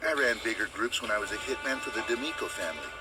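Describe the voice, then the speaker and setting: A man's voice: fairly low pitch, quick pace, natural voice. A middle-aged man with an American accent playing a stern, devout ex-hitman who leads a superhero team in a video game. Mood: earnest, commanding. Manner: earnest, commanding, fatherly. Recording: distant voice under loud music.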